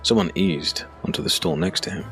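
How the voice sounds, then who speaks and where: A man reading scripted dark fantasy narration in a measured, dramatic, gravelly voice. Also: sits low, steady tone, soft background music. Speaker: audiobook narrator.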